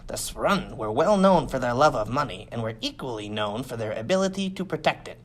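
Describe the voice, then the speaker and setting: A man's voice: medium pitch, animated, brisk. A man reading a first-person science fiction adventure story as scripted audiobook narration. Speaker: audiobook reader.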